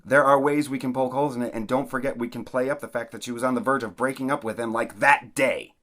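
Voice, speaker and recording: A corrupt, pompous mayor from an audio drama, played by a man. His voice is bright and forceful at a middling pitch, and he talks like a scheming bully used to getting his way. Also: fairly even intonation, fast talker, natural voice. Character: corrupt, blustering, foul-mouthed male mayor, scheming and bullying.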